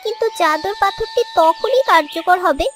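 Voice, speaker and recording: A woman's voice: very high voice, moderate pace, fairly bright tone, soft background music. A woman voicing an earnest, helpful young fairy trapped as a bird in a children's fairy tale cartoon. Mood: reassuring.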